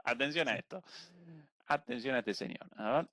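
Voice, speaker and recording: A man's voice: medium pitch, expressive, quick pace, pushed and bright. A man hosting a live, casual political talk show in an exclamatory, mocking way.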